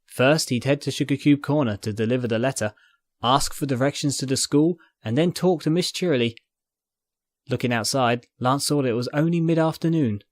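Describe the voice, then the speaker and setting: A man's voice: medium pitch, brisk, no echo, clean studio sound. A man reading fiction aloud as narrator, in scripted dramatic storytelling style. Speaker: narrator, fan fiction reader.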